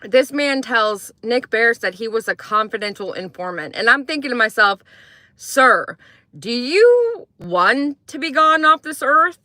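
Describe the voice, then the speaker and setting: Young woman from croatia, rambling true-crime stream host, bright crisp voice, dry close sound, chats with viewers. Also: on the high side, big pitch swings, unhurried, no room sound. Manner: chatty, rambling, reads and answers chat. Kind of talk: casual morning livestream commentary.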